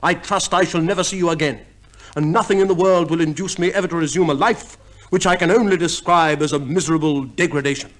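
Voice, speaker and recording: A man acts a gentlemanly army major in a 1950s radio play. He sounds proper and somewhat reluctant, hesitating before he speaks, in a fairly high male voice. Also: fairly even intonation, fast talker, close to an everyday voice.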